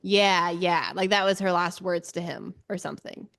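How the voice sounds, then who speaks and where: A woman's voice: on the low side, normal speed, creaky, a bit bright. A woman co-hosting a podcast, talking about a book in a casual, tentative and agreeable way. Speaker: book club podcast co-host. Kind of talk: casual book discussion chat.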